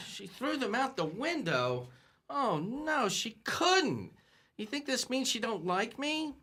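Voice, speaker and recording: A man's voice: very high voice, big pitch swings, takes it slowly, sharp tone, full character voice. An actor playing a bumbling, lovesick young man in an old-time radio comedy, stammering and flustered.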